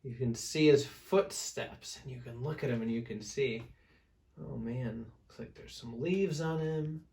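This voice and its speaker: A man's voice: medium pitch, vocal fry, mellow. A man reading a picture book aloud to children as a storyteller, with dramatic emphasis. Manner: dramatic emphasis, pauses to prompt listeners.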